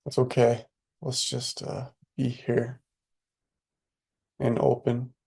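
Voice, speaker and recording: A man leading a guided meditation in a soothing, unhurried way, with spare phrases and long pauses between them. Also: mid-range voice, monotone, gravelly, a little mellow, husky.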